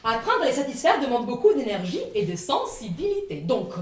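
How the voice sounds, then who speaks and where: A woman's voice: on the high side, fairly quick. A woman from northern Italy with an Italian accent, performing a dramatic, comic first-person theatrical monologue. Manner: dramatic, expressive delivery. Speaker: stage actress performing a one-woman show. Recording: echoey room, distant microphone.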